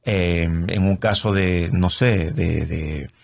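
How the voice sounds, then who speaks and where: A middle-aged man from Canada, a trial lawyer, explaining his work in a relaxed interview with many fillers and tag questions. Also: deep voice, takes it slowly, vocal fry, no echo, studio quality. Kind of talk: conversational interview.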